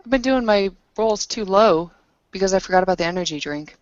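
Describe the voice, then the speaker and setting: A woman's voice: mid-range voice, fairly quick, dry recording, muffled recording. A woman chatting casually as a player in a tabletop roleplaying game.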